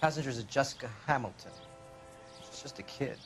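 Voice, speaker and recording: Actor playing a slangy American patrol cop. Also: male voice, medium pitch, fairly quick, over music, natural voice. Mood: matter-of-fact. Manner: clipped, slangy, plain-spoken. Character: matter-of-fact 1940s American patrol cop, former boxer. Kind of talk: 1940s period video game acting.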